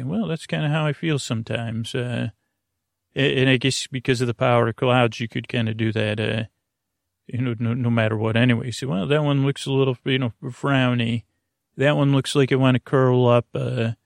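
A man delivers a rambling bedtime monologue as a podcast host meant to lull listeners to sleep. His mid-pitched voice is full of creak and fry. He meanders haltingly, circling back to correct his own words, in a very dry recording with no room sound. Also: dry recording. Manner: meandering, halting, self-correcting, drifting into tangents.

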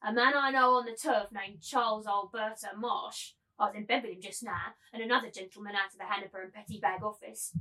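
A woman's voice: mid-range voice, steady tone, brisk, few pauses, pushed and bright, close to an everyday voice, poor audio. A woman playing a cruel, domineering brothel keeper and auctioneer in a dramatised literary reading, commanding, taunting and theatrical.

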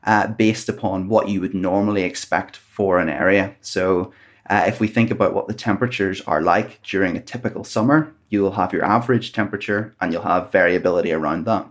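A man from Northern Ireland speaks with his home accent and a deep, low voice. He is a climate researcher laying out his methods patiently, one step at a time, in an interview. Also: brisk. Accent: Northern Irish.

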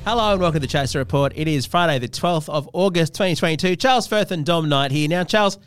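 A man's voice: on the high side, quick pace, over music. A man hosting a podcast in casual, comic banter. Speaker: podcast host.

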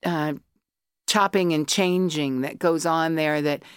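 A woman's voice: deep voice, steady tone, slow pace, clear, resonant tone, no room sound, clean studio sound. A middle-aged American woman from Colorado, an artist and academic, chatting in a podcast interview and drifting into opinionated tangents.

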